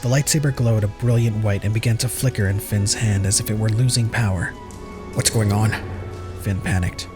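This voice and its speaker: A man's voice: on the low side, fairly even intonation, fairly quick, few pauses. Man narrating a dramatic story over music. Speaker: narrator of a fan audio drama. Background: prominent music.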